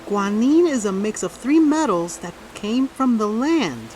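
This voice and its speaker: An actress playing a curious young twin who thinks things through aloud. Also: female voice, a little high, big pitch swings, unhurried, a little mellow, slight background noise. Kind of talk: audio drama acting.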